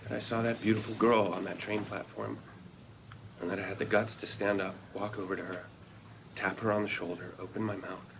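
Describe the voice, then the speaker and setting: A tender, low-voiced wistful father. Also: little pitch movement, creaky. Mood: solemn, grateful. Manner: earnest, measured delivery. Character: tender, wistful father telling his children his love story. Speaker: actor at a script read-through. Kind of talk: reflective first-person scripted narration. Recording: noisy live room recording.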